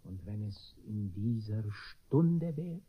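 An actor playing a sly, solemn trickster in a German radio play, flattering a gullible master in a theatrical, portentous, insinuating way. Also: male voice, lowish voice, takes it slowly, husky, heavily acted. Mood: conspiratorial, grand. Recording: dull, muffled old radio recording.

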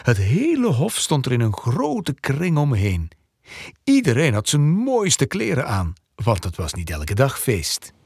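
A narrator telling a scripted children's story in an expressive storytelling style. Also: medium pitch, measured pace, breathy edge, dry recording, clean studio sound. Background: music.

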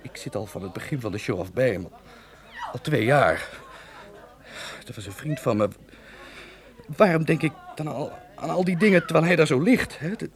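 An actor playing an excitable, opportunistic male television director in a radio play, frantic and prone to stammering. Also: medium pitch, lightly acted. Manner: frantic, stammering, self-questioning. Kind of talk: Dutch radio play acting.

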